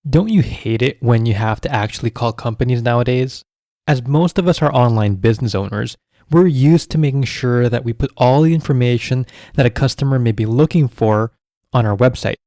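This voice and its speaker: A man's voice: mid-range voice, brisk, warm tone, dry recording. Man hosting a podcast, very dry sound. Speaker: podcast host. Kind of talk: solo podcast talk, scripted and conversational.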